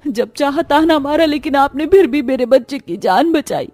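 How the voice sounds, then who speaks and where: A woman's voice: high voice, moderate pace, dark tone, dry recording, close to an everyday voice. A woman voicing a remorseful, humbled rich sister-in-law in a cartoon story, apologetic and pleading. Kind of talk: Hindi cartoon story voice acting.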